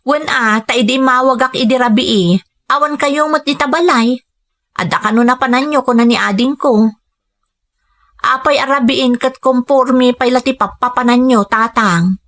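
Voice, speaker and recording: A woman's voice: sits high, normal speed, fairly bright tone. A Filipino woman playing a hardworking, self-sacrificing daughter who works abroad as a maid in an Ilocano radio drama. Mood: concerned.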